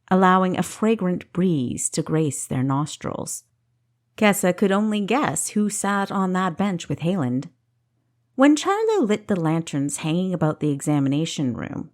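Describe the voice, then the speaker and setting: A woman reading scripted fiction as an audiobook narrator. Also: lowish voice.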